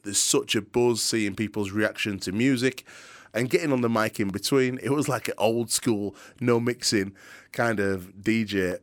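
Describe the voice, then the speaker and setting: A middle-aged man with a softened Yorkshire accent, telling earnest stories of his years as a DJ and presenter in an interview. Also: on the low side. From Yorkshire, England. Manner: energetic, earnest, some restarts. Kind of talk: interview answers, storytelling. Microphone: studio microphone.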